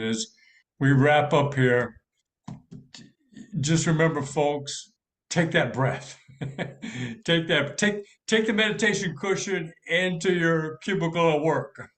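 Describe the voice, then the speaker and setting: A man hosts a podcast interview in a somewhat higher voice. He affirms his guest and closes the conversation with an encouraging word to listeners. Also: a bit bright. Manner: affirming, wraps up the show. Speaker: podcast host.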